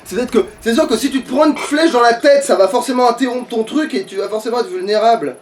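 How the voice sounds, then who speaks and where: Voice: medium pitch, quick pace, a little mellow. A gamer giving casual, sweary and grumbling commentary during a let's play. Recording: distant mic with background noise.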